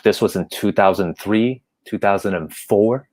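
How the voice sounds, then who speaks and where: Male guest, 30s, raised in Hong Kong, Cantonese native; lower voice, halting casual delivery. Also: a little mellow. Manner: halting, restarts often, laughs between stories. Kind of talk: casual interview chat.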